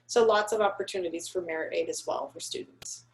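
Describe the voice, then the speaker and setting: A woman's voice: lowish voice, fairly quick, low-quality recording. A woman admissions counselor giving a friendly, chatty presentation on a video call. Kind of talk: webinar presentation.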